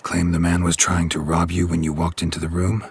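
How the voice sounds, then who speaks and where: An actor in a video game playing a gruff, stoic monster hunter who mutters tersely in clipped, deadpan fragments. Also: male voice, sits low, fairly quick. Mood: dry questioning. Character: gruff, laconic male monster hunter for hire, stoic and watchful. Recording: music behind.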